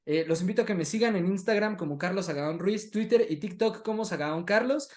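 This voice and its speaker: A Mexican man with a somewhat high-pitched voice hosts a casual online interview. He sounds conversational, turning from his guest to address viewers directly as friends. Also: fairly quick. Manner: addresses audience directly, calls them friends. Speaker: host, interviewer.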